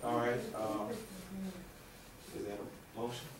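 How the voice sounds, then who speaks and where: Slow Southern man chairing, echoey room. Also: medium pitch, very animated. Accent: Southern American. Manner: calls motions and votes. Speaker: meeting chair. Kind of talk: chairing a council meeting. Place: small council meeting room. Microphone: far room camera mic. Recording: echoey room from far off, background noise.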